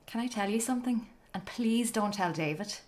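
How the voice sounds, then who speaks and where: A woman's voice: fairly high pitch, moderate pace, darkish tone, a little hiss or hum, close to an everyday voice. An actress playing a timid, eager woman in her twenties in a radio drama, hesitant and apologetic. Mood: confiding, nervous. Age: 20s. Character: timid, eager young Irish woman, aspiring writer.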